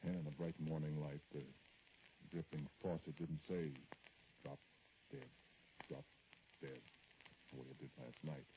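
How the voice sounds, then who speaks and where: A very deep-voiced, troubled man confides his growing dread in an old radio horror drama. He speaks haltingly and broodingly, with an irritable edge. The recording is dull, with faint noise. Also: lively intonation, heavily acted. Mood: brooding, uneasy. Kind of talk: old-time radio horror acting, first-person narration.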